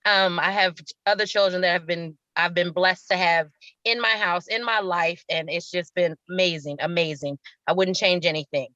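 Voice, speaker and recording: A woman's voice: lowish voice, steady tone, normal speed, pushed and bright, dry recording, dull audio. A middle-aged woman telling stories casually as an interview guest, effusive and repeating words for emphasis. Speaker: stage actress, interview guest.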